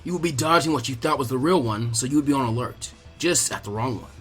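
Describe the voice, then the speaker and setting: Male reader as dry, clever, weary anime boy strategist, lowered register, unhurried. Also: animated, fairly bright tone, soft background music, lightly acted. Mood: matter-of-fact.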